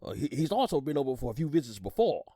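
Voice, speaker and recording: Folksy retired sergeant, older man, played by an actor, gossipy courtroom witness, animated pitch, dry close recording. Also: fast, no echo. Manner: folksy, rambling, repeats words, hums agreement. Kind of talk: audio drama acting, courtroom testimony.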